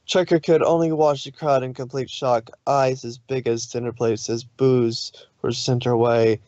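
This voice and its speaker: A man reading fan fiction aloud as an expressive story narrator. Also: medium pitch, unhurried, no room sound, lo-fi sound.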